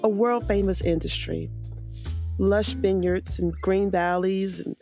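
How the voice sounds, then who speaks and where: A woman from Houston narrating a documentary in a measured, earnest scripted reading. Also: mid-range voice, steady tone, gravelly, warm tone, soft background music. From Houston, United States. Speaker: narrator and co-host.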